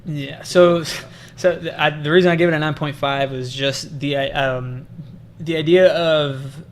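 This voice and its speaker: A man hosting a casual movie discussion, speaking haltingly and often restarting his phrases. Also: a little high, fairly even intonation, slight background noise. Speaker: film review podcast host.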